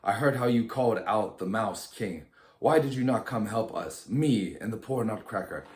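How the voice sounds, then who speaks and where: A man's voice: on the low side, lively intonation, normal speed, close to an everyday voice. Man reading as a reproachful little girl, echoey. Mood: hurt, accusing.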